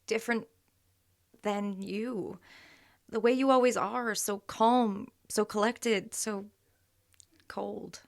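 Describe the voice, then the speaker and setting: An actress playing an exasperated young girlfriend in an audio drama, speaking emotionally and haltingly, often dropping to a murmur. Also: medium pitch, steady tone, vocal fry. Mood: hurt, frustrated. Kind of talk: naturalistic audio drama acting.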